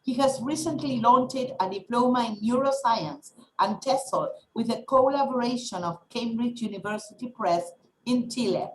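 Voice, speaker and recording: Higher-pitched woman hosting, echoey room. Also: normal speed, a little hiss or hum. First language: Spanish. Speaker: webinar host. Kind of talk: welcoming and introducing a speaker, partly read. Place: indoors on a video call. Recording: echoey video-call audio.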